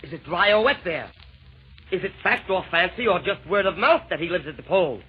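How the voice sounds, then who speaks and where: An actor playing a fussy, reluctant villain emperor in an old radio comedy, speaking in theatrical rhyme. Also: male voice, sits high, expressive, brisk, breathy edge, full character voice. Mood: fussy, flustered. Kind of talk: 1940s radio comedy acting. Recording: muffled old-time radio recording.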